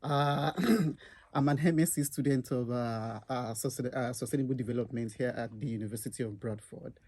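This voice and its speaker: A young man from Nigeria, speaking hesitantly with many filler pauses as a student guest on a campus radio chat show. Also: medium pitch, fairly even intonation, a little mellow.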